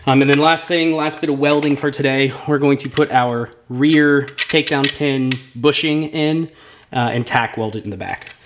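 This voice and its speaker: A relaxed man explains his work step by step in a casual tutorial, pausing often on fillers. His voice sits in an ordinary middle pitch. The sound is roomy and echoey, like a workshop, with a faint hiss of noise underneath. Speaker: gunsmith vlogger. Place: workshop with workbench and vise.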